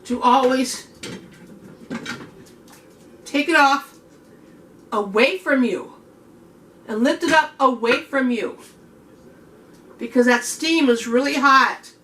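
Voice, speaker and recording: A high-voiced, middle-aged woman talks slowly through a home canning tutorial. She has a homey, chatty way with viewers. Her voice is worn, and she keeps clearing her throat as she loses it. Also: pushed and bright. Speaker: home cook host.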